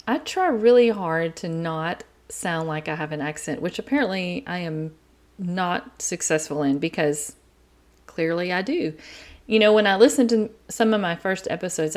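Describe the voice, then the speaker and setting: A middle-aged woman with an East Texas accent, hosting her podcast from a quiet home in an earnest, chatty way. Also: fairly low pitch. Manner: chatty, earnest, talks faster as she warms up. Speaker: podcast host. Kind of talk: casual solo podcast talk.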